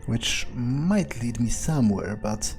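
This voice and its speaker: A man playing a wary, crude, sardonic vampire in an improvised video game roleplay, muttering his thoughts with hesitant pauses. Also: mid-range voice, lively intonation, darkish tone, soft background music. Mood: musing, wary. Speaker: gamer playing in character.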